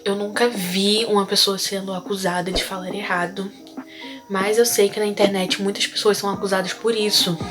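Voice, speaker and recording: A Brazilian teenage girl gives her view in a relaxed, conversational way, at a mid pitch. Clear music plays under her voice. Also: normal speed. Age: teens. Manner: casual, conversational. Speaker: student. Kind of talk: school project opinion.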